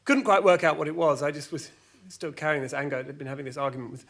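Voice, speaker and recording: A man playing a flustered, exasperated storyteller, halting and repeating himself through a comic monologue full of petty grievances. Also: on the high side, steady tone, vocal fry, heavy background noise. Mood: uneasy. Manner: halting, repetitive, stumbling over words. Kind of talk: rambling semi-improvised comic monologue with acted argument quotes.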